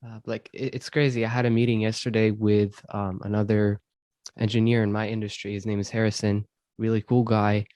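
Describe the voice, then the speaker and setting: A man from Syria, host of a self-improvement podcast, answering interview questions in a thoughtful, measured way with frequent ums. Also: lowish voice, little pitch movement, darkish tone, dry recording. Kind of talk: reflective conversational interview.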